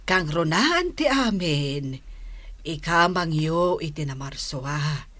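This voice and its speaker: Older mom in ilocano radio drama, slow measured advice, lowish voice, dry close sound. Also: female voice, animated, fairly bright tone, no room sound, full character voice. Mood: earnest, caring. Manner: measured, advising. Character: older mother, caring, giving earnest counsel.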